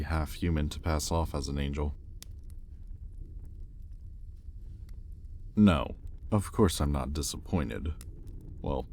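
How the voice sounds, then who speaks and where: An extremely low-pitched male demon, acted by a man, speaks in an intimate roleplay style. He is a teasing, sardonic captor, arrogant and curious, talking conversationally over faint background noise. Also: darkish tone. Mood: wry, probing. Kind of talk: intimate audio roleplay acting.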